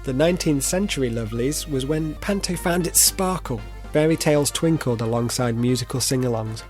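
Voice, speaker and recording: A man's voice: medium pitch, brisk, loud music behind the voice. A man narrating a video essay in a playful, theatrical manner, with informal asides. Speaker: presenter.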